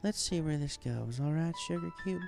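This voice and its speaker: Man as a folksy, higher-pitched farm pony mare. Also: gravelly, a little mellow, soft background music. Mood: tender. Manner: folksy, unhurried, reassuring. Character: easygoing, folksy female farm pony, warm and reassuring. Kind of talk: fan fiction story reading.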